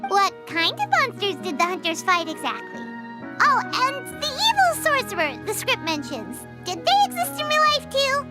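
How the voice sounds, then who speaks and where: A woman voicing a small, childlike, curious and excitable fairy companion in a video game, with a squeaky voice and an inquisitive manner. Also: normal speed, over loud music, heavily acted. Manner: speaks of self in third person, inquisitive.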